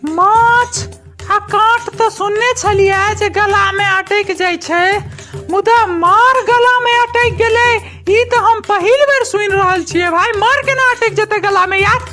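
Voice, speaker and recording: Woman voicing a very high-pitched hapless young shopkeeper boy. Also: slow, sharp tone, heavily acted. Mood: plaintive explaining. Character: hapless, gullible young male village shopkeeper. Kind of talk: comic cartoon dialogue. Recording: music behind the voice.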